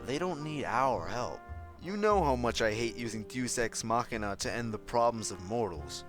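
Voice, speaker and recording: A man's voice: medium pitch, animated, music in the background, lightly acted. Man reading as a patient, regal pony princess. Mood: patient, weary. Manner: measured, patient, mildly weary.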